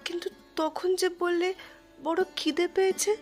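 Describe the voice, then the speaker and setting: A woman's voice: very high-pitched, little pitch movement, a little slow, soft background music. A woman playing a dutiful, anxious ten-year-old village girl in an audio drama, earnest and plaintive. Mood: anxious, insistent.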